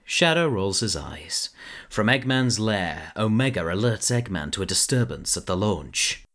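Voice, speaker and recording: A man narrates a fan audio drama in a brisk, descriptive way. His voice is low, with lively rises and falls.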